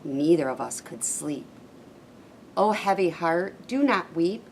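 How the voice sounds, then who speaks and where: Woman reading a kids story, lowish voice, bit of background noise. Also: animated, takes it slowly. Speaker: school staff member reading aloud.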